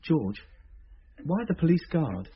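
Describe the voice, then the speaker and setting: Earnest, very husky British man. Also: fairly high pitch, big pitch swings, slow, heavily acted. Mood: curious, earnest. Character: earnest, eager male friend helping the detective. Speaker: radio drama actor and narrator. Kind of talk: British radio drama acting with narration. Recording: dull, lo-fi old radio recording.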